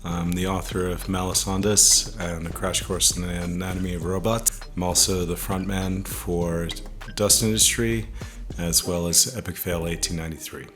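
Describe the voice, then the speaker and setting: A man with a very low, deep voice gives a self-introduction for an interview. He presents himself as an author and musician. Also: flat delivery, normal speed, a bit bright.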